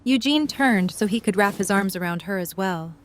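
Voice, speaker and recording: A woman reading scripted story narration in an audio drama, at a medium pitch. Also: lively intonation, moderate pace, slight background noise.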